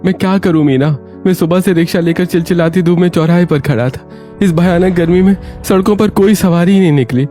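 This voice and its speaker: An actor playing a poor, exhausted rickshaw-puller husband in a cartoon story, dejected and defensive. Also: male voice, high-pitched, a little fast, warm tone, faint music behind, natural voice.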